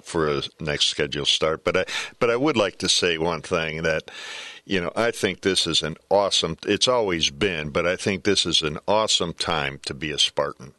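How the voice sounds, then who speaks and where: An older American man with a very low, bright and forceful voice talks sports in a casual podcast style. His delivery halts as he feels for the next word, and the sound is close and dry. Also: moderate pace, dry recording. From south side of Chicago, USA. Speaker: baseball color commentator.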